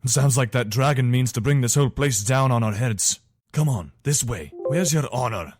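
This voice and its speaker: A man's voice: mid-range voice, animated, moderate pace, darkish tone, lightly acted. A man playing a dutiful, weary but decent imperial soldier in a fantasy video game, speaking earnestly in short, commanding phrases. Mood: urgent, commanding. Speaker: voice actor for a game mod.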